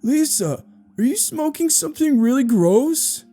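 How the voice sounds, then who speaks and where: A man's voice: sits high, expressive, moderate pace, mellow, soft background music, pitched above the performer's own voice. A man voicing a frightened, stubborn little boy who pleads and protests indignantly in a horror story. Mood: disgusted, indignant. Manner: pleading, indignant, childish exclamations.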